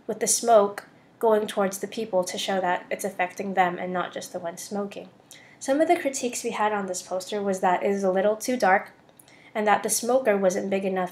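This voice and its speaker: Student presenter, young American female, mid-range voice, scripted slideshow narration. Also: mid-range voice. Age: young adult.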